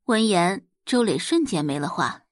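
A woman's voice: lowish voice, very animated, normal speed, no echo, studio quality. A woman giving dramatic scripted narration as the narrator of an audio drama.

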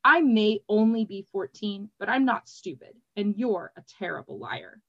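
A woman's voice: mid-range voice, normal speed, a bit bright, no room sound, lo-fi sound. A scolding teenage mage girl, as a woman reader puts her on. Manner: scolding and blunt. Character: irritable, sharp-tongued teenage girl mage.

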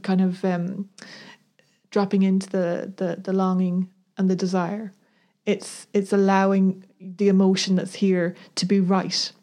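A woman's voice: mid-range voice, dark tone. A woman co-hosting a talk podcast, thoughtful and earnest, searching for words as she reflects. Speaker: podcast co-host. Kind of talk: reflective conversational discussion.

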